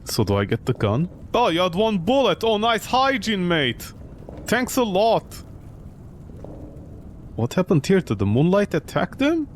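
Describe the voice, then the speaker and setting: A man's voice: high voice, huge pitch swings, a little slow, slight background noise. A man giving joking let's play commentary as a gaming streamer, sarcastic and incredulous.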